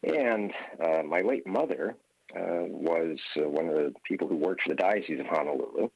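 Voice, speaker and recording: A man in his fifties from Hawaii, a guest author telling stories in an earnest, measured way on a radio interview. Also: low voice, expressive, creaky, dry recording, highs cut off.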